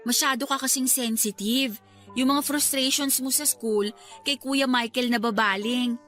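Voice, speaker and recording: A woman's voice: high voice, steady tone, measured pace, fairly bright tone, faint music behind. A woman playing a candid, understanding younger sister in a radio drama, chiding and playfully teasing. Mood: frank, reasoning. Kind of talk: radio drama dialogue in Filipino.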